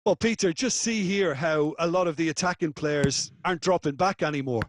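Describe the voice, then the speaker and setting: A man's voice: fairly high pitch, brisk, natural voice. A man voicing an analytical football co-commentator in a video game, explaining play in a conversational way.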